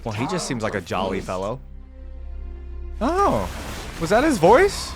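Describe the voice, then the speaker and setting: A man's voice: mid-range voice, wildly swooping pitch, measured pace, over music. A man hosting a gaming reaction video, talking casually with many "like"s and "um"s and reading ability text aloud. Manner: curious, chatty, many likes and ums, laughs often. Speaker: gaming reaction channel host.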